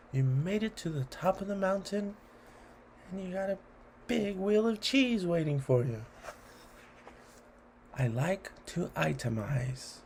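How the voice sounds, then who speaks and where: A man reading children's rhymes aloud expressively and deliberately, adding encouraging asides to the listener. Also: sits high, darkish tone, a little hiss or hum. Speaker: read-along host.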